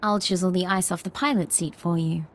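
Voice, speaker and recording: An actress playing an earnest young ensign in a video game, polite and dutiful in manner. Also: female voice, fairly low pitch, fairly even intonation, a little fast, lightly acted. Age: young adult. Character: earnest, eager young female military ensign.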